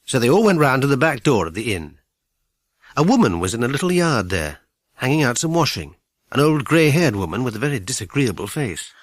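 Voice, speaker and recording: Animated male children's storyteller. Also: medium pitch, a little fast, no echo, studio quality. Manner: expressive storytelling. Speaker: audiobook narrator. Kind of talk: scripted children's story narration.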